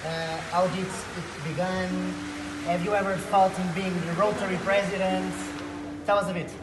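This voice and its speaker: A young man from Portugal conducts an interview, asking his questions in turn. The room rings with clear echo, and there is noticeable noise behind him. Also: high-pitched, flat delivery, moderate pace. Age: young adult. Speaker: interviewer, youth club representative.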